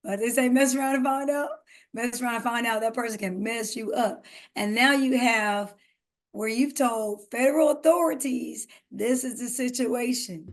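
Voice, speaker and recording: A woman co-hosting a podcast, talking earnestly and giving emphatic advice in a casual conversation. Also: on the high side. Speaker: podcast co-host.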